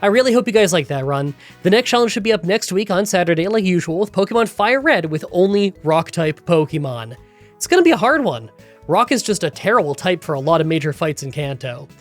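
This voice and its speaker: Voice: medium pitch, sing-song, brisk, soft background music. Nasal, congested gaming YouTuber. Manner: chatty, rambling storytelling. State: congested from a cold. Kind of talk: game challenge voiceover recap. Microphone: close voiceover mic.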